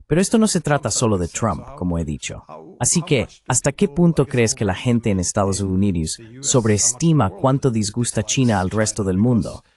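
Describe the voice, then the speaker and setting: Deep-voiced man with very lively intonation. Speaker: interviewer. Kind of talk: translated voice-over of an interview.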